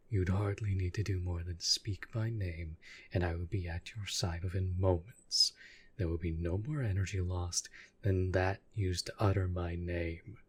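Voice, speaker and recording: Casting: possessive male demon lover played by a man for audio roleplay. Low husky voice, unhurried, formal, intense. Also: a little mellow, a little hiss or hum. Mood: commanding, urging. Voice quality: husky, breathy. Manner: slow, formal, deliberate, intense. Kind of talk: intimate scripted audio roleplay monologue.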